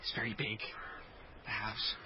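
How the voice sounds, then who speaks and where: A man's voice: fairly low pitch, completely flat delivery, measured pace, muffled recording, noisy background, lightly acted. An actor playing a wondering, uncertain boy with a plain, questioning manner in a period radio drama. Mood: awed. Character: uncertain, wondering youth, a poor showman's son.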